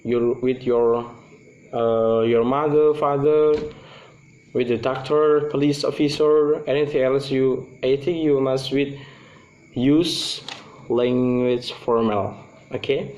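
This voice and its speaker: A young Indonesian man with a clear Indonesian accent, speaking English as a second language. He talks slowly and haltingly, pausing often to find the next word. His voice sits at an average pitch for a man. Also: steady tone, a little mellow, rough recording. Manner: halting, searching for English words. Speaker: student presenter. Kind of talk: class presentation.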